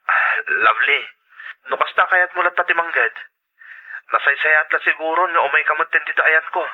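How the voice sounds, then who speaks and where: An actor playing a hardworking, anxious and affectionate young husband in an audio drama, speaking earnestly. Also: male voice, fairly high pitch, moderate pace, narrow, tinny audio, lightly acted. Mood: affectionate, concerned. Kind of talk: Ilocano audio drama dialogue.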